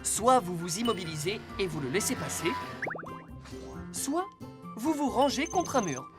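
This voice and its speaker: A woman's voice: medium pitch, sing-song, unhurried, over loud music. A woman voicing an earnest, caring rescue-car hero who gives safety lessons in a dubbed children's cartoon. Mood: earnest, instructive. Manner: clear, instructive, earnest.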